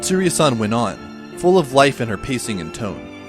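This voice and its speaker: A man playing a wry, introspective schoolboy who narrates an audio drama in a dry, measured, literary way. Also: mid-range voice, very animated, music in the background, lightly acted. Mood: wry, puzzled. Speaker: narrator and lead character. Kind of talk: first-person scripted audio drama narration.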